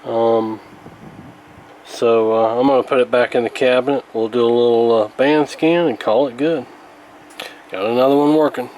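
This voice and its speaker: A man's voice: mid-range voice, steady tone, moderate pace, a little mellow, slight background noise, low-quality recording. A man giving casual hands-on radio repair commentary, hesitant and thinking aloud as he works. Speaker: radio repair hobbyist, host.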